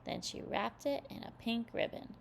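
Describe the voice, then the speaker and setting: A woman narrating a children's read-aloud, expressive and carefully phrased. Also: normal speed, slight background noise, low-quality recording. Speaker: storyteller for young children.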